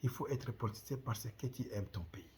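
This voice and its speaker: A man's voice: mid-range voice, animated, a little fast, darkish tone, a little hiss or hum. A middle-aged man from the Congo with a Congolese French accent, hosting his own channel and speaking passionately to camera with repeated rhetorical questions. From Democratic Republic of the Congo. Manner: impassioned, repetitive rhetorical questions. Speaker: channel host. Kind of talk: direct-to-camera commentary.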